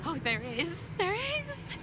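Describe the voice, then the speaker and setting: A woman's voice: high voice, sing-song, unhurried. An actress voicing a manic, playful female figure in a video game cutscene. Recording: loud ambient noise behind voice.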